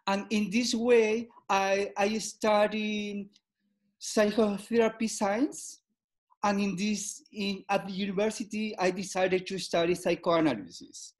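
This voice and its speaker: Slow, halting man. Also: high-pitched, steady tone. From Peru. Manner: halting, repeats words, non-native phrasing. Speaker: guest psychoanalyst. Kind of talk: interview answers.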